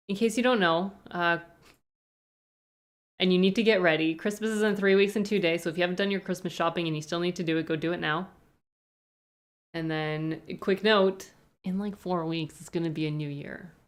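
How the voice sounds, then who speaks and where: Rambling Canadian woman, slightly low voice. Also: steady tone, moderate pace, pauses often. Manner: rambling, thinks aloud with frequent hedges. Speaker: streamer. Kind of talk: casual live-stream chat answering viewer questions.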